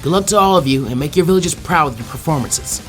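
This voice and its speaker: A man playing a commanding tournament proctor, announcing formally and emphatically in a showy, drawn-out arena style. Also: fairly high pitch, lively intonation, fairly bright tone, reverberant, soft background music.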